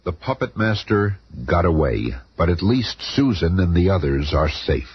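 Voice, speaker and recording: A man's voice: sits low, very animated, moderate pace. A man narrating an adventure radio serial in a grave, melodramatic announcer style. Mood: urgent. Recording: noisy, muffled old radio recording.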